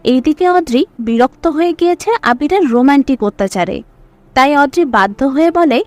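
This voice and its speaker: A woman's voice: sits high, expressive, normal speed. A woman reading scripted narration for a serial story.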